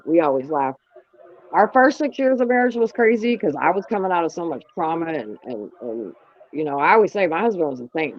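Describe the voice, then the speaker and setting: A woman's voice: deep voice, lo-fi sound. A woman in her late forties hosting a casual livestream chat, speaking frankly with lots of swearing and fillers. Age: 40s. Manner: frank and profane, talks with many fillers. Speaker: livestream host.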